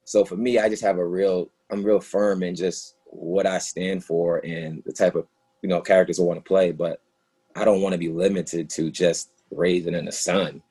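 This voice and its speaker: Deep, husky man reflecting casually. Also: flat delivery, normal speed, mellow. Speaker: actor in a play reading, answering questions.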